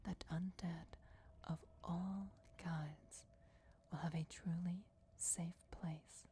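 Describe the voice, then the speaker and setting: A woman voicing an ancient, ruthless vampire goddess in a breathy, solemn whisper, in a fantasy ASMR roleplay. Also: sits low, dark tone, slight background noise. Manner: slow, deliberate, measured with dramatic pauses. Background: crypt ambience.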